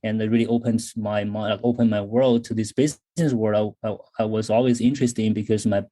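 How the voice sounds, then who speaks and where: A man's voice: on the low side, little pitch movement, fast talker, mellow. A man in his thirties from China, speaking Chinese-accented English as an interview guest, thoughtful with many fillers and restarts. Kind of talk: casual interview talk.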